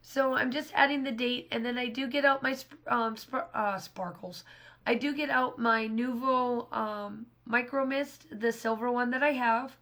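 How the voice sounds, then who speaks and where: A woman in her thirties with a hoarse, scratchy voice, casually talking through a scrapbooking craft project in a rambling way. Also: a little high, fairly even intonation, unhurried, a bit bright. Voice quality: rambling, restarts often. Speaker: scrapbooking channel host. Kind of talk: casual voice-over narration of a craft process.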